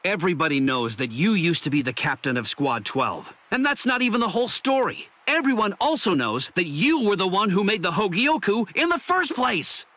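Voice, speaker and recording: An actor playing a brash, hot-tempered young swordsman in an anime game dub, talking bluntly and gruffly. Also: high voice, big pitch swings, normal speed, hardly any pauses, pushed and bright, full character voice. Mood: irritated, indignant.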